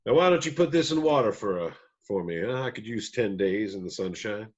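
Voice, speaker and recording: A man's voice: lowish voice, animated, fairly quick, slightly rough, highs cut off. A man playing a weathered, wry, guarded older country bandleader, folksy and wistful, in a screenplay table read. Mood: gently evasive.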